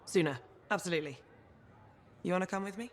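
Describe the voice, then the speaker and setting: An actress as a cheeky, reckless brawler. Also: female voice, on the low side, fast, close to an everyday voice. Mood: sheepish, playful. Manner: casual, cheeky, unrepentant. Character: reckless cocky brawler freelancer, easygoing troublemaker. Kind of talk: video game cutscene acting.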